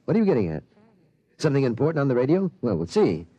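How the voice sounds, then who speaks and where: A man's voice: mid-range voice, very animated, quick pace, mellow, dry recording, highs cut off. An actor playing an anxious middle-aged father, hesitant and incredulous, in the manner of 1950s radio drama. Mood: puzzled, uneasy. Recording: vintage radio broadcast.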